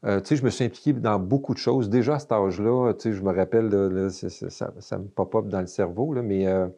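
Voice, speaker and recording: Casting: Québécois man, late 50s, low-pitched, mellow, hesitant with fillers. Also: quick pace. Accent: Québécois French. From Quebec. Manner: hesitant, many fillers and restarts. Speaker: guest. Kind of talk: casual interview talk.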